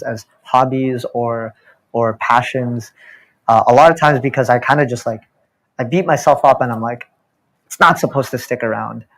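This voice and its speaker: A man's voice: medium pitch, poor audio. A young man on a panel, a drag performer telling a personal story in a reflective, hesitant way. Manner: reflective, hesitant, many fillers and restarts.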